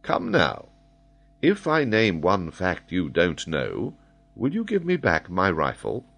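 A man voicing a calm, shrewd army major in a storybook, dryly teasing with an understated, deadpan manner. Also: low-pitched, slow pace, creaky, exaggerated voice.

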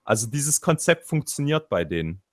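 A man's voice: mid-range voice, big pitch swings, moderate pace. Man, Swabian accent, casual panel chat, video call, rambling, restarts sentences. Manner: rambling, self-interrupting, acts out quotes. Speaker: panel guest.

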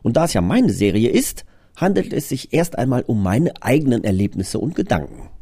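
A man's voice: mid-range voice, sing-song, brisk, warm tone, slightly rough, no room sound, clean studio sound. A man presenting his own audio drama in a scripted but conversational trailer, explaining things earnestly.

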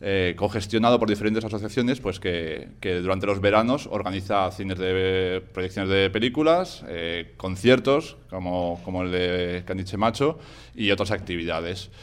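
A man's voice: deep voice, normal speed, clear, resonant tone. A man hosting a community radio interview, asking questions in a friendly, conversational way with small hesitations. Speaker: radio host.

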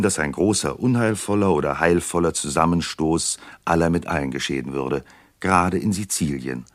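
A man's voice: deep voice, no room sound, studio quality. A man narrating a royal tale as a grave storyteller in a literary radio play.

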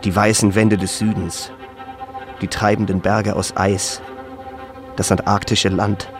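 A man's voice: on the low side, flat delivery, unhurried, over music. A man reading scripted literary narration as the narrator of a radio play.

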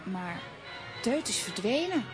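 A bold young girl with a high, animated voice. She is cheeky and teasing, in a children's radio play with faint music underneath. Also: slow.

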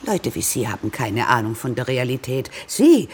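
A woman's voice: a bass voice, very animated, moderate pace, a little hiss or hum. A woman acting a guarded, defensive businesswoman in a radio drama. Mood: defiant. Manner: curt, defensive.

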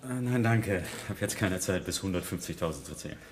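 A laconic male factory insider in a radio drama. His voice is fairly low and his manner casual and unbothered. There is faint noise and clicking on the recording. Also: lively intonation, brisk. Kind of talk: German radio drama acting.

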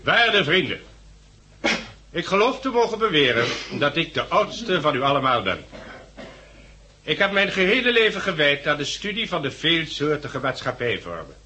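A man's voice: on the high side, very animated, normal speed, sharp tone. A Dutch man playing an elderly, pedantic sociology professor in a 1960s radio drama, lecturing in florid sentences. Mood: earnest, didactic. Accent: Dutch, Netherlands. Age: older.

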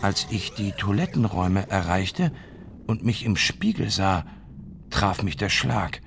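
An actor playing a shaken male academic who soberly recalls being a bombing victim in a measured radio drama monologue. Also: lowish voice, very animated, creaky, noisy background, heavily acted. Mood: controlled shock.

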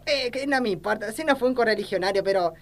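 A woman's voice: on the high side, fast talker, natural voice. A woman playing a crooked, lecherous, ignorant old small-town politician, with an Argentine accent and folksy, blustering talk in an amateur satirical sketch. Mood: confused, dismissive.

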